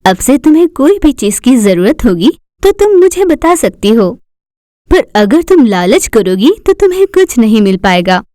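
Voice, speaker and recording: A woman voicing a kind magical bracelet spirit, reassuring and gently cautioning, in an animated fairy tale. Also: a little high, normal speed, dry recording, studio quality.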